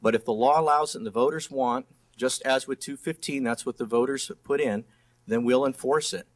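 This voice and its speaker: A man's voice: mid-range voice, a little fast. A middle-aged man speaking as a candidate and district attorney in a debate, plain-spoken and often restarting his phrases. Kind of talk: political debate speech.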